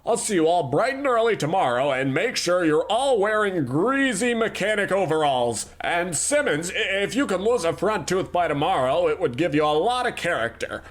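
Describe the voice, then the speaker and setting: A man playing a pompous tycoon boss, high and sing-song. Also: big pitch swings, normal speed, few pauses. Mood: haughty. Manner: pompous, bossy, theatrical. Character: pompous, self-absorbed male animation tycoon boss. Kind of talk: comedic old-time radio acting.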